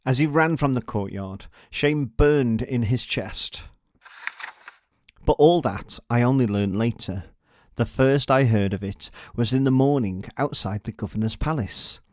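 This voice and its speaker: A man narrates a read-along story for children. His mid-pitched voice is measured and dramatic, recorded very dry and close with little room sound. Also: a little mellow, no echo.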